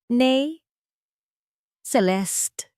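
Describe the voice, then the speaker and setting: A woman's voice: a little high, lively intonation, very slow, darkish tone, clean tone, no echo, clean studio sound, voice pushed up. A woman voicing a meek, apologetic daughter-in-law who feigns submission, pleading and subdued, in an audio drama. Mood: pleading, submissive.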